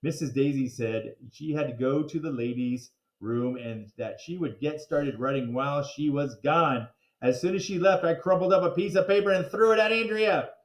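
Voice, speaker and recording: A man giving an animated read-aloud of a children's chapter book, expressive, drawing out words and throwing in interjections. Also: on the high side, normal speed. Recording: roomy, echoing sound.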